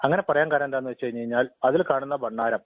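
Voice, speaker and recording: A man speaks very rapidly over a narrow, tinny phone line. He is making a formal complaint, courteous and deferential yet insistent, and the sound is dry with no room around it. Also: medium pitch, no room sound. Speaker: temple head priest, complainant caller. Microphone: telephone call.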